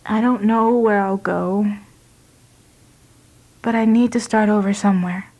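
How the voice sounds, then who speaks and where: A woman's voice: medium pitch, fairly even intonation, a little slow, darkish tone, rough recording. A woman acting in an audio drama as a bitter, anguished young wife who wants out of motherhood. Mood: tearful, resigned. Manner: bitter, emotional.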